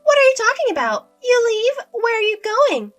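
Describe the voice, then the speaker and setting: A woman voicing a scheming older mother-in-law who talks in a shrill, scornful, domineering way. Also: sing-song, normal speed, fairly bright tone, faint music behind, full character voice.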